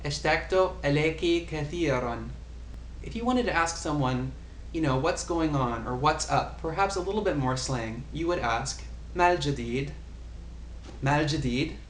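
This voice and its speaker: A man giving a casual language lesson, patiently explaining and repeating example phrases. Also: on the high side. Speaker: language tutor. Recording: echoey room, faint ambient noise.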